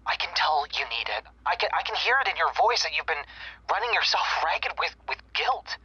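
Patient young phone rep, filtered, husky. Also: male voice, fairly high pitch, very animated, brisk, bright, forceful tone, dry recording, exaggerated voice. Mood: urgent reassurance. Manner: soothing, earnest, hesitant when personal. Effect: telephone filter. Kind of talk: naturalistic audio drama acting. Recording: dull telephone-filtered sound.

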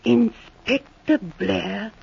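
An actress playing an earnest young woman secret agent in a 1940s radio drama, talking in a brisk, slightly prim way. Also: fairly high pitch, creaky, breathy edge, exaggerated voice. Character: Miss Guest, sharp-minded young woman secret agent. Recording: dull lo-fi old radio transcription.

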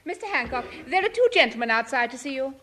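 A woman's voice: high voice, big pitch swings, fast, bright, forceful tone, heavily acted. An actress playing a matter-of-fact, unimpressed woman of the household in a 1950s radio sitcom. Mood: brisk. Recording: slightly noisy vintage radio recording.

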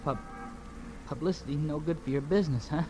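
An actor playing an earnest, hurt kid who sells papers, with an American accent and halting delivery, in 1940s radio drama style. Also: male voice, fairly high pitch, natural voice. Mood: subdued, earnest. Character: earnest, hurt working-class newsboy, a kid. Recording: noisy vintage radio recording.

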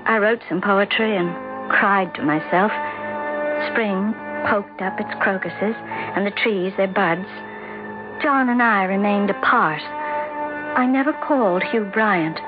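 An actress playing an anxious, insistent, sensitive wife in a radio drama, hesitant and pleading. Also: female voice, mid-range voice, close to an everyday voice. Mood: sad, reflective. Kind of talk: 1970s radio drama acting and narration. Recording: dull old radio broadcast, music behind.

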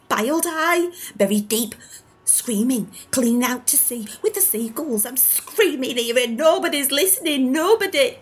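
A woman playing a husky, raving old street woman. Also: sits high, full character voice. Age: older. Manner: ranting, pleading, swinging between shouts and whispers.

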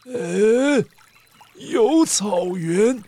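A high, swooping fretful, excitable master of the house in a children's story. He drags out his words slowly, sighing and exclaiming. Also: noisy background, heavily acted. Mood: musing, eager. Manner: dramatic, drawn-out, full of sighs and exclamations. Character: excitable, fretful wealthy master who paints animals. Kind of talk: children's audio story acting.

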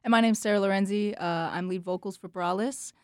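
A woman's voice: medium pitch, steady tone, brisk, a little mellow, clear, resonant tone, dry recording, clean studio sound. A young woman, a band singer being interviewed, chatting casually and playfully telling stories. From Charlotte area, North Carolina, US. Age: young adult. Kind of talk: casual chat, playful storytelling.

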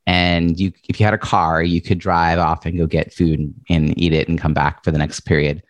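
A male podcast host, chatty and expressive, introducing and talking with a guest. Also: sits low, moderate pace, no room sound. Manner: chatty, says he has a loud voice and big presence. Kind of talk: interview podcast.

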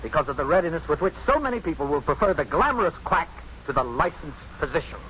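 Pompous accusing professor, higher-pitched. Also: male voice, sing-song, quick pace, fairly bright tone. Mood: indignant, accusing. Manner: pompous, formal, pressing questioner. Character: stern accusing male medical professor, pompous and prosecutorial. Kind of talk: 1950s radio drama acting. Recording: dull noisy 1950s radio recording.

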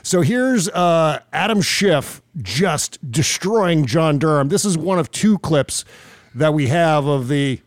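A man's voice: a little high, animated, takes it slowly, dry recording, studio quality. An American man hosting political talk, commenting in an emphatic, informal and profane way. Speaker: podcast host. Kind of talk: political commentary with casual chat.

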